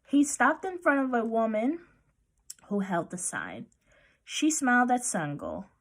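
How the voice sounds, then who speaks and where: A woman reading a children's picture book aloud as narrator, with expressive storytelling. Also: fairly high pitch, measured pace.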